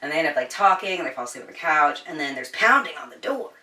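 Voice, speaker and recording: Bright-voiced casual woman in an echoey room. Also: low voice, fast, far from the mic. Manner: informal and slangy with frequent swearing; animated storyteller, voicing quoted dialogue. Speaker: book reviewer. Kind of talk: casual chatty book recap. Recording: echoey room, somewhat off the mic.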